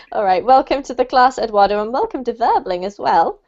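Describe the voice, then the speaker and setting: A British woman leads an online English class in a high, cheerful voice, laughing often as she greets her students. The audio sounds dull and lo-fi, like a video call. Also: sing-song, normal speed, a little mellow. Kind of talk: casual online class chat. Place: home room in New Zealand.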